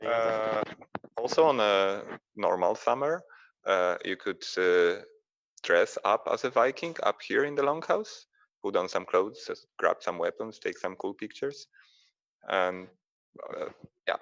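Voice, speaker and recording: A man from Poland, a museum sailor answering live questions in a halting, plain-spoken way with many fillers. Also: fairly low pitch, lively intonation, a bit bright. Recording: muffled lo-fi stream audio.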